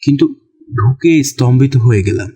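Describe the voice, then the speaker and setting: A man narrating a horror story in the first person with a deliberate, suspenseful, literary delivery. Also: medium pitch, mellow. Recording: music behind the voice.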